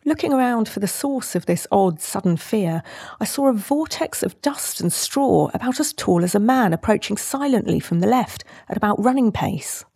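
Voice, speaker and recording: A British woman, a nature writer, reading a descriptive nature essay in a measured literary style. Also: medium pitch, lively intonation, gravelly, mellow, slightly rough, no room sound, clean studio sound. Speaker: nature writer and podcast host.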